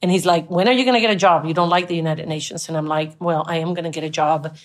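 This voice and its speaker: A middle-aged woman from Colombia, Spanish her first language, talks through her early working years as an interview guest. Her voice sits on the low side, and she tells her story earnestly, acting out the things people said to her. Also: fairly even intonation, fast. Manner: reflective, earnest, recounts with quoted dialogue.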